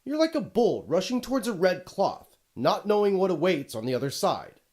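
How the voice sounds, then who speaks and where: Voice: medium pitch, very animated, moderate pace, a little mellow, natural voice. An actor playing a pompous, exasperated video game narrator who scolds the player in a dramatic, haughty, petulant way. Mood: weary disbelief. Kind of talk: theatrical scripted game narration.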